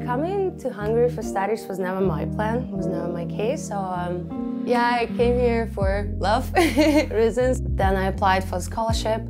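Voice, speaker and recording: A woman in her twenties from Siberia with a Russian accent, speaking candidly and wryly in a documentary interview, with soft laughs between thoughts. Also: medium pitch, lively intonation, measured pace, loud music behind the voice.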